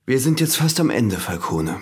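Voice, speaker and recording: Mid-pitched, methodical examining magistrate played by a man. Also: very animated, lightly acted. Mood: probing, controlled. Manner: measured, formal, insistent questioning.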